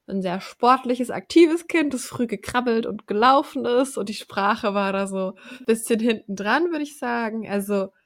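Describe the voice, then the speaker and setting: A woman's voice: on the high side, normal speed. Chatty German-speaking mother telling her story. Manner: chatty, colloquial, laughs between phrases. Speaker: podcast co-host, mother. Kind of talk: casual personal storytelling.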